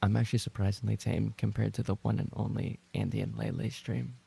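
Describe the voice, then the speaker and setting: Male streamer, lowish and somewhat dark voice, loose casual banter with his audience. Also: flat delivery, brisk, vocal fry, slightly rough. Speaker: live streamer and voice actor. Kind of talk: casual livestream chat with viewers.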